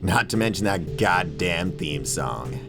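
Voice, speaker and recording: Low-pitched, irreverent man over music. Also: lively intonation, measured pace. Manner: irreverent, opinionated, slangy, profane. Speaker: video essayist, channel host. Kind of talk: casual scripted video essay narration. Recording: music playing behind the voice. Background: background music.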